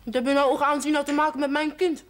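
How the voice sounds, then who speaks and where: A woman's voice: high voice, level tone, quick pace, close to an everyday voice. An actress playing a naive, cheeky and stubborn young village girl in a television period drama, blunt and artless. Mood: blunt, defiant.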